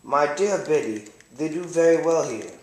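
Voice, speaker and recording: A man's voice: on the high side, lively intonation, normal speed, a little mellow, lightly acted. A man playing a proud, condescending young man, reading first-person literary narration and dialogue formally in an amateur puppet show. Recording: echoey room.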